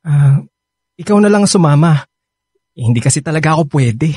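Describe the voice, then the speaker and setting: An actor playing an evasive, apologetic young boyfriend in a radio drama, hesitant and casual, with a husky voice and a Filipino accent. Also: male voice, a little high, animated, normal speed, warm tone, no room sound, clean studio sound.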